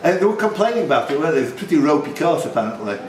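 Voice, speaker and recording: A man's voice: a little high, sing-song, rapid-fire. Roomy-sounding man hosting a live quiz. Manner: chatty, teasing, jokey asides. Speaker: quiz host, question reader. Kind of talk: reading quiz questions aloud with informal banter. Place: tavern quiz room. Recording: roomy, faintly noisy live venue recording.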